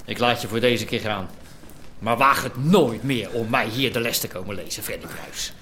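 An actor from Rotterdam playing a rival gangster who issues cold threats. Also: male voice, fairly low pitch, normal speed, pushed and bright, slight background noise. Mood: menacing. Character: menacing male rival gangster, boxing-school owner. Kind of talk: radio drama acting.

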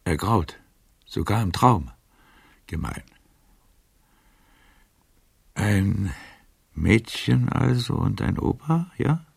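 An actor playing a frail, proud, aging gallant in a radio drama, musing theatrically in a husky, gravelly voice. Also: male voice, fairly low pitch, sing-song, a little mellow, exaggerated voice. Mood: wistful. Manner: slow, theatrical, musing to himself. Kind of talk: literary radio drama acting.

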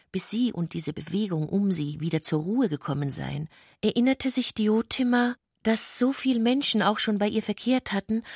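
Female narrator for literary radio drama, breathy-husky tone, very dry sound. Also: medium pitch, darkish tone, no echo.

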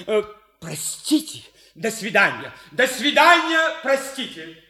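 A man's voice: sits high, big pitch swings, slow pace, sharp tone, exaggerated voice. A man acting a gushing, insistent young magazine reporter in a theatrical radio play. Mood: reverent, gushing. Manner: effusive, insistent, flowery.

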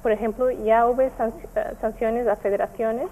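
A woman from Portugal with a Portuguese accent, a native Portuguese speaker, giving careful, halting interview answers as an NGO representative. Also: a little high, fairly even intonation, warm tone, a little hiss or hum, poor audio. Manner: halting, careful, searching for words. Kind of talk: explanatory radio interview answers.

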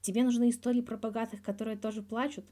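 A woman's voice: on the high side, flat delivery, fast talker, mellow, natural voice. A woman voicing a guarded male hockey star in his thirties, talking candidly and wryly in a scripted interview from a fan fiction audio reading. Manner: candid, wry, colloquial with swearing.

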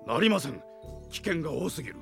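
A loyal veteran knight commander in a radio drama. He is stern and authoritative, with archaic wording and widely moving pitch, over soft music. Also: big pitch swings, quick pace, creaky, exaggerated voice. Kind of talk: Japanese radio drama acting.

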